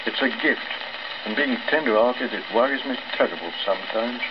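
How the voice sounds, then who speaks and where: A man's voice: mid-range voice, moderate pace, natural voice. An English man voicing a boastful, mock-sorrowful ship's cook with a self-important, airy manner in a story reading. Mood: boastful, self-pitying. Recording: old crackly record, dull, heavy surface noise.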